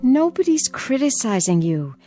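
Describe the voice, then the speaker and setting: An actress playing a worried, gentle young wife and granddaughter in a drama, speaking earnestly and pleadingly. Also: female voice, sits high, big pitch swings, normal speed, faint music behind. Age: young adult. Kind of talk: dramatic acting.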